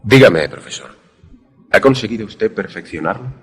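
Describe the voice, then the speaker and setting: Male student-narrator for suspense radio drama, man acting: very low, husky, formal and deferential, measured literary delivery. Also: lively intonation, faint music behind. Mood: awed, eager.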